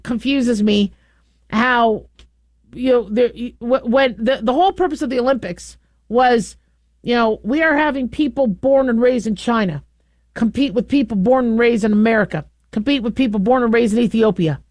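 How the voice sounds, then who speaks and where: Woman radio host thinking out loud, kinda high voice, dry studio sound, lots of you knows. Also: normal speed, no echo. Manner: rambling, many restarts and fillers. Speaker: radio talk show host. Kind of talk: casual call-in radio talk.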